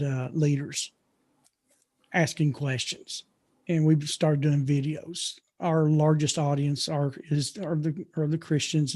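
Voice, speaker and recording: A man's voice: on the high side, darkish tone. A man speaking as a guest teacher in a casual online chat, earnest and often correcting himself.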